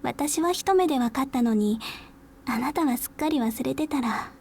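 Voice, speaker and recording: High voiced anime schoolgirl, polite but teasing, kinda eccentric, talks fast. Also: lively intonation, a little mellow, a little hiss or hum. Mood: playfully hurt. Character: cheerful, teasing, eccentric high school first-year girl. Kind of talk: Japanese visual novel voice acting.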